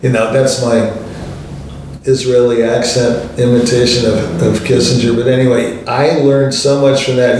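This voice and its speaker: A man's voice: mid-range voice, a little mellow, distant mic. A man hosting a show, telling a long casual story that keeps wandering off and correcting itself. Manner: rambling, digressive, self-correcting. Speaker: show host telling stories. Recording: echoey room, voice set back from the mic, faint ambient noise.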